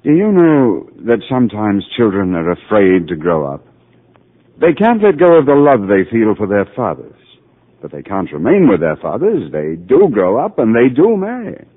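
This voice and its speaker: A calm middle-aged psychiatrist in a 1940s radio drama, with a low, husky voice that rises and falls in a lively way as he patiently explains. He stays gentle and reassuring throughout. The sound is a tinny old radio broadcast. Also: moderate pace, heavily acted. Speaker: narrator and doctor.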